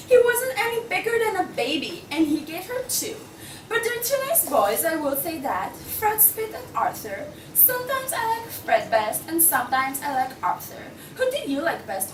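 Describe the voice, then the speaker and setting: Young woman acting scandalized nagging wife, very high voice, animated, theatrical, roomy distant recording. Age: young adult. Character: gossiping, indignant nagging wife of an organist. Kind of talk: table read of a radio play. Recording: roomy, distant mic, faint noise.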